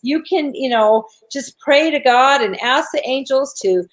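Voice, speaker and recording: A woman with a raspy voice hosting a spiritual talk show, chatting casually in an encouraging, chatty way. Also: high voice, a little slow. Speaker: show host.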